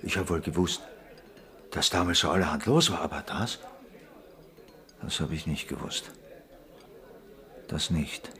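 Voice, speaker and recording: An actor playing a defensive, defiant villager who resents outsiders, in a radio drama. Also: male voice, extremely low pitch, a little slow, long pauses, fairly bright tone, exaggerated voice. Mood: shaken, evasive.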